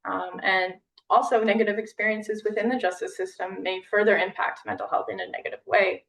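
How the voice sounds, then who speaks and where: A woman co-presents in a flat, steady voice with a gravelly edge, often pausing on fillers. She sounds set back from the microphone. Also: mid-range voice, distant mic. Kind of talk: conference presentation.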